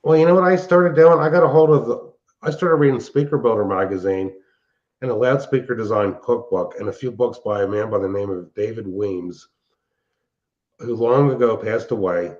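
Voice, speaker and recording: Older man rambling, lowish dull-sounding voice. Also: normal speed, darkish tone. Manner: rambling, explanatory. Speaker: show host and loudspeaker hobbyist. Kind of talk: casual technical chat. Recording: dull, band-limited sound.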